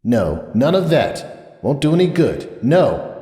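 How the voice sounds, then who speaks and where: A man's voice: medium pitch, big pitch swings, takes it slowly, dark tone, echoey room, in a large hall, voice pushed up. A man voicing a dejected young male unicorn scholar who sighs and mutters in self-pity. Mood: bitter. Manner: sighing, self-pitying muttering.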